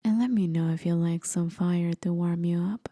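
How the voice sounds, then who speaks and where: A woman playing a playful, courteous demon forest guide in an ASMR roleplay, with soft, breathy, often whispered speech and a teasing, gently theatrical manner. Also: on the low side, fairly even intonation, moderate pace, no echo, clean studio sound, close to an everyday voice. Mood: gentle, welcoming. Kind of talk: ASMR roleplay, scripted acting.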